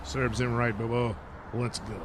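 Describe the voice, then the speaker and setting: A man's voice: medium pitch, little pitch movement, unhurried, background noise, lightly acted. An actor playing a flustered, out-of-shape male superhero who stammers and blusters. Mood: gruff. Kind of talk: comedic audio play acting.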